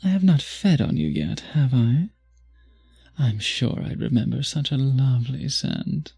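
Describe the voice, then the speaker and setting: Man playing a breathy, slow-talking seductive vampire. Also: medium pitch, sing-song, mellow, faint music behind, exaggerated voice. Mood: charming, teasing. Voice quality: breathy, husky, often dropping to a whisper. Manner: slow, courtly, teasing, flirtatious. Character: charming, seductive male vampire at a masquerade ball. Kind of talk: romantic ASMR audio roleplay.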